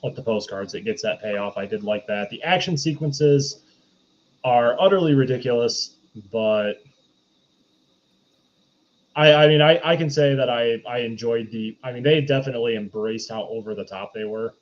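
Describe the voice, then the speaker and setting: A man's voice: medium pitch, moderate pace. A man chatting casually as a review panelist, often restarting his sentences, on slightly dull lo-fi audio. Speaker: podcast panelist reviewing films.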